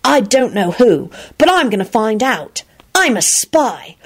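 A woman's voice: a little high, big pitch swings, measured pace, breathy edge, pitched above the performer's own voice, exaggerated voice. A woman voicing a cocky, knowing young boy in a narrated story. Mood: mysterious.

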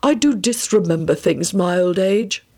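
A sleepy, whimsical old wizard , as a woman reader puts him on, drawling his words slowly with a lofty, mannered air. His pitch climbs and dips a lot. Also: expressive, warm tone. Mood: serene. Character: aged male wizard headmaster, drowsy and whimsical.

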